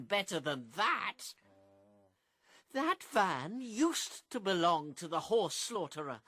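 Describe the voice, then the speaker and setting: Pompous, swooping propagandist pig. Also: female voice, medium pitch, a bit bright, heavily acted. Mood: pleading, oily. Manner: pompous, theatrical, unctuously persuasive oratory. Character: Squealer, a sly smooth-talking propagandist pig. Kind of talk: radio drama acting.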